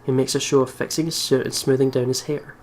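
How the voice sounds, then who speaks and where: A man's voice: mid-range voice, level tone, fast, a little mellow. A man hosting a let's play, reading a visual novel aloud with casual commentary and sometimes stumbling over the words. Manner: giggly, stumbles while reading. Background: faint game music.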